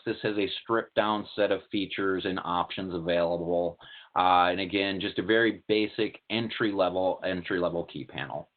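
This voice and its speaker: A man giving a technical product presentation in a plain, methodical, explanatory way, as a webinar presenter. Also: low voice. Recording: tinny narrowband call-like audio.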